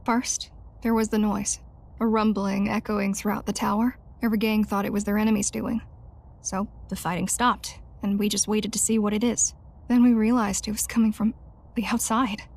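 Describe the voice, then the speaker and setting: Tired tough rebel boss lady in a game, lots of gravelly fry, blunt. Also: medium pitch, little pitch movement, moderate pace. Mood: grave, recounting. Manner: curt, matter-of-fact. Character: battle-weary, hard-nosed female resistance leader. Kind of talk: video game cutscene dialogue.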